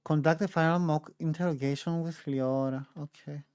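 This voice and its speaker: Low, mellow woman casually commenting on gameplay. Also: fairly even intonation, normal speed, clear, resonant tone, no room sound, studio quality. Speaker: gaming streamer.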